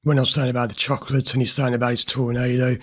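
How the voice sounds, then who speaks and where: A husky, slightly hoarse man talking straight to his viewers. He explains what he hears in a client's words, direct and a little amused. His voice sits at an ordinary middle pitch for a man. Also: flat delivery, rapid-fire. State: slightly hoarse from recording many videos. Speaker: host, therapist analysing a recorded session. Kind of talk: commentary over an interview clip.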